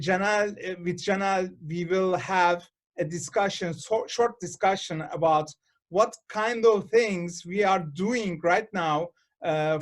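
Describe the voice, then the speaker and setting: A middle-aged man with a Turkish accent hosting a video call interview, halting with many fillers and restarts. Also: high voice. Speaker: host and consultant.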